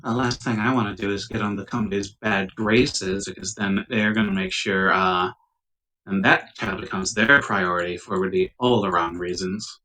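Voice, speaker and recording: Male game player, bright and pressing tone, casual delivery, slightly distant mic. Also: sits low, fairly even intonation, moderate pace. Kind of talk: casual game chat, in and out of character. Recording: distant mic on laptop recording.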